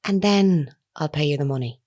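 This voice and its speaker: An actress playing an earnest, persistent woman reporter in an audio drama, formal, measured and insistent in a naturalistic style. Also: low-pitched, darkish tone, natural voice. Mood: firm.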